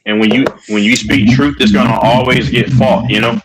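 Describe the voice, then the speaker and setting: A man on a livestream debate, talking in an animated, emphatic way with many restarts. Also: medium pitch, normal speed, a little hiss or hum, low-quality recording. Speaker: streamer.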